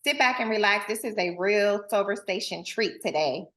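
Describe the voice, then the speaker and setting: A woman's voice: mid-range voice, lively intonation, normal speed, a bit bright. A woman hosting a casual talk show, playful and familiar, with slangy asides to her guest. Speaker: host. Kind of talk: casual chat.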